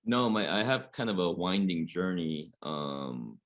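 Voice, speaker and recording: A man in his forties from Oregon with a low, deep voice tells a long, winding story on a coaches' webinar panel. He rambles on with steady fillers between his points. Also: a little slow. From Oregon, USA. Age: 40s. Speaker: high school basketball coach.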